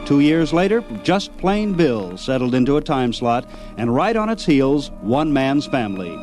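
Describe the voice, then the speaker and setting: A man's voice: mid-range voice, sing-song, moderate pace, darkish tone. Host guy, scripted narration, mid voice, lots of inflection, faint music. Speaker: program host.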